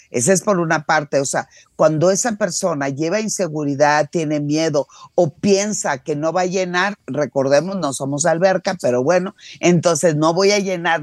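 A woman's voice: low voice, dry recording, clean studio sound. A middle-aged woman, giving conversational expert advice and repeating words emphatically for stress. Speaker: sexologist, expert guest.

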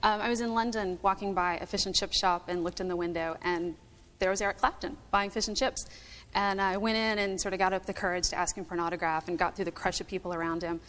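A woman's voice: on the low side, steady tone, fast, fairly bright tone, in a large hall. A woman from Virginia, a quiz show contestant telling a story with a wry touch.

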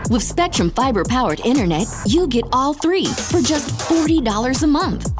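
Woman, ad announcer, polished, lively pitch, slightly high, music behind. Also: moderate pace. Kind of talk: scripted commercial read. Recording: clear music bed behind.

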